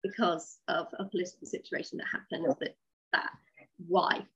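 A woman's voice: on the low side, little pitch movement, moderate pace, fairly bright tone, breathy edge, muffled recording. A woman interviewed as a writer, explaining her method conversationally, step by step, with rhetorical questions. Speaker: interview guest, audio drama writer.

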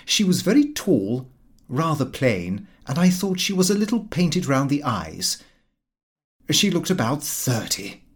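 A man giving an expressive, slightly fussy scripted reading of a comic diary novel. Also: mid-range voice, husky. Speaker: audiobook narrator.

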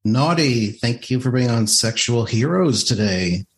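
Slow-talking male podcast host. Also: medium pitch, animated. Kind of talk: interview questions.

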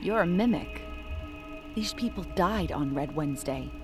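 A woman's voice: on the low side, expressive, measured pace, over music. An actress playing a determined, terse female investigator with a direct, insistent manner in an audio drama. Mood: accusing, resolute.

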